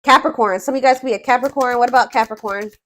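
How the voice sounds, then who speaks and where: A woman's voice: a little high, racing, a bit bright. A woman reading tarot for viewers in a casual, informal way, thinking aloud as she goes, with emphatic points. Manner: informal, conversational, searching for words aloud, emphatic. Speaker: tarot reader, host.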